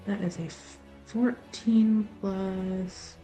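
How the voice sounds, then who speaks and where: A woman's voice: mid-range voice, fairly even intonation, very slow, warm tone, soft background music. A woman chatting casually as a player in a tabletop roleplaying game.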